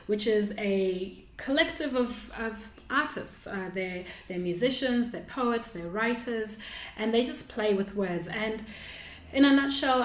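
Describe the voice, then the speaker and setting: A woman hosting a podcast, talking conversationally in an earnest, reflective and expressive way, both narrating and interviewing. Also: medium pitch. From Australia, lived in Perth before Melbourne. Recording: roomy, echoing sound.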